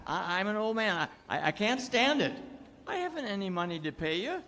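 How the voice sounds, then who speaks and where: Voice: medium pitch, sing-song, a little fast, a bit bright. A performer playing a frightened old blind beggar man who stammers and pleads repetitively. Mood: frightened, pleading. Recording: echoey hall.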